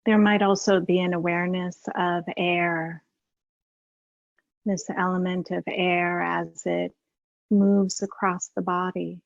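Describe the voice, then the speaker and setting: Slow, even-toned woman guiding meditation. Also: fairly low pitch, vocal fry, dry recording, highs cut off. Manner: unhurried, with long pauses. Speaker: meditation teacher. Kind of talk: guided meditation.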